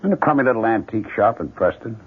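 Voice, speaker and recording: An actor playing a moody, obsessive painter in a 1970s radio drama, terse and brooding. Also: male voice, lowish voice, expressive, fast, slightly rough. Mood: subdued, friendly. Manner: terse, brooding, easily snappish. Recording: noisy, tinny 1970s radio broadcast.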